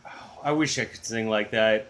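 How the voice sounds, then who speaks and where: A middle-aged man with a low, booming baritone, hosting a music channel in a rambling, enthusiastic, self-deprecating chat. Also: normal speed, a bit bright. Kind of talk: casual chatty video blog.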